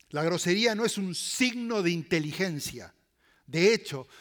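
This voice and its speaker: A man preaching a sermon as a pastor in Latin American Spanish with voseo, emphatic and deliberate, repeating key phrases and swinging between loud and hushed delivery. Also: high voice.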